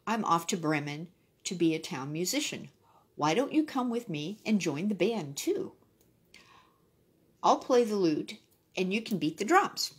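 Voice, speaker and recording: A woman's voice: fairly low pitch, sing-song, a little slow, long pauses, husky, exaggerated voice. A woman voicing a friendly, confident male donkey in a storybook, with an encouraging, persuasive manner. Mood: friendly, inviting.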